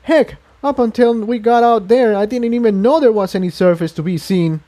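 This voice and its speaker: A man's voice: sits high, moderate pace, mellow, pitched above the performer's own voice, close to an everyday voice. Man voicing an earnest, weary engineer pony. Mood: reassuring. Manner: reflective, confiding, emphatic.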